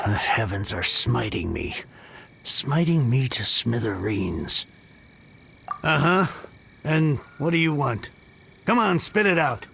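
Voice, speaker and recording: Gravelly, grouchy book collector. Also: male voice, medium pitch, very animated, slow, background noise, heavily acted. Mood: exasperated, ranting. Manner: theatrically self-pitying, curt, ranting. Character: grouchy, bitter bankrupt book collector, a village recluse. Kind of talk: video game voice acting.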